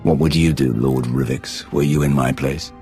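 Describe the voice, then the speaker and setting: A man's voice: a bass voice, fairly even intonation, moderate pace, dark tone, soft background music. An actor playing a curt, commanding male Sith warrior in a game cutscene. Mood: stern.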